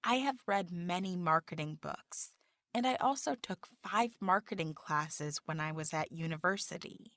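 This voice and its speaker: A woman reading the applicant's part in a scripted practice job interview, with careful, deliberate delivery. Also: on the low side, a bit bright, no room sound, studio quality.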